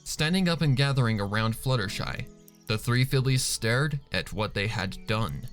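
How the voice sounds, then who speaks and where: A man's voice: mid-range voice, lively intonation, moderate pace. A man narrating a piece of fan fiction as a dramatic scripted reading. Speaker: story narrator. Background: faint music.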